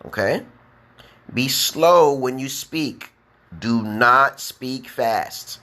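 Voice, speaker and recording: A man with a New York accent teaching beginner English on a live stream, speaking slowly and emphatically and checking in with "okay?". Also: mid-range voice, sharp tone. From United States. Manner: drawn-out, emphatic, repeats letters and "okay?". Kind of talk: slow deliberate live-stream lesson.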